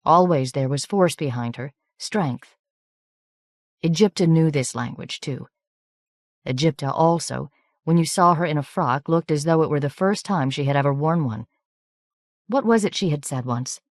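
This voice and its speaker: A woman narrating an audiobook in a measured, even reading voice. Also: sits low, no echo, clean studio sound. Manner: measured, even reading with light character shading. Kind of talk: scripted narration.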